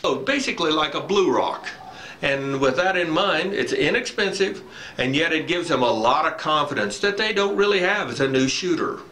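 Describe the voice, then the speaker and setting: A man's voice: on the high side, sharp tone. An older man giving an informal instructional talk to camera as a firearms instructor, explaining step by step and repeating key points. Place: dim wooden barn or shed, indoors. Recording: echoey room, faint background noise.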